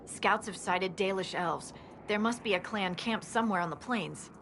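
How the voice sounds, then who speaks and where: An actress playing a dutiful military scout officer in a video game, giving a formal, sober briefing. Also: female voice, mid-range voice, normal speed, fairly bright tone, slight background noise, natural voice.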